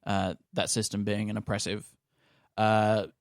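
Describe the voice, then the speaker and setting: A man with an Australian accent talks casually in a lowish register. He pauses and feels out his thoughts, and the sound is close and dry. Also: monotone, dry recording. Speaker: actor and podcast cast member. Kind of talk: casual discussion.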